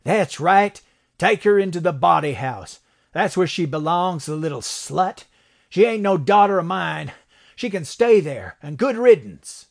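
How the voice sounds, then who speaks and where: Man voicing a spiteful older western father, yelling high. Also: lively intonation, unhurried, gravelly, heavily acted. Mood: spiteful anger. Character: vicious, foul-mouthed older father in the Old West. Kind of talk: western audiobook character acting.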